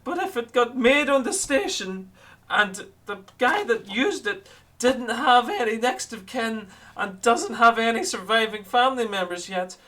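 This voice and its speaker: Male player voicing a harried bureaucrat who rambles through endless rules; keep it bright and fussy, voice chat sound. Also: extremely high pitch, full character voice. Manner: rambling, tangled in rules and forms. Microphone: online voice chat mic.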